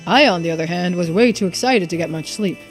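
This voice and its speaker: A woman's voice: on the low side, quick pace, faint music behind, lightly acted. A woman reading aloud as a cheerful, free-spirited young male traveler in a video game, theatrical and wordy. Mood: musing.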